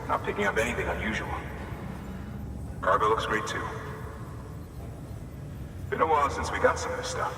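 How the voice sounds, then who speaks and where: An actor playing a wary but courteous, folksy checkpoint worker at a cargo terminal in a video game. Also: male voice, medium pitch, sing-song, normal speed, gravelly, pauses often. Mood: friendly, apologetic. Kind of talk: video game cutscene acting. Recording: distant, echoey hall with noise behind.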